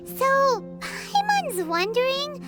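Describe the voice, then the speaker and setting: Casting: pint-sized fairy sidekick for a game; squeaky, childlike, animated, nosy and chirpy. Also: female voice, extremely slow, over music, full character voice. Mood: skeptical. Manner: refers to self by name, chirpy. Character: tiny excitable childlike fairy companion, female, curious and skeptical. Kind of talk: video game voice acting.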